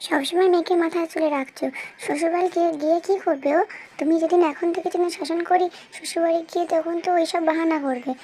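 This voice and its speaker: Woman voicing a high-pitched chiding cartoon mother. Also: steady tone, fast, mellow. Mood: exasperated, scolding. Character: strict, exasperated mother and wife in a village family. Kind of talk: amateur cartoon dubbing. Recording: noisy amateur home recording.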